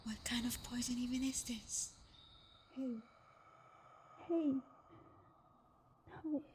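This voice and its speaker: A woman acting a protective, scolding but tender vampire girlfriend in an intimate ASMR roleplay, hushed and often slipping into breathy whispers. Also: fairly high pitch, takes it slowly, slight background noise. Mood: panicked, pleading.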